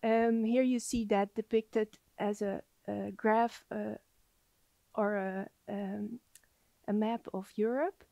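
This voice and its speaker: A woman in her thirties giving an academic lecture in a soft voice, standing in a library lecture space. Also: medium pitch, steady tone, slow pace, gravelly, no room sound. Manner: soft-spoken.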